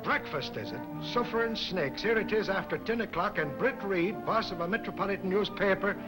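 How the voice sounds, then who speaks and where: High-pitched blustering old ex-cop played by an actor. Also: male voice, a little fast, lightly acted, poor audio. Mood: indignant, blustering. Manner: blustery, exclaiming. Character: blustering old Irish ex-cop bodyguard, indignant. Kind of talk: 1940s film serial comic relief. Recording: old film soundtrack with music behind.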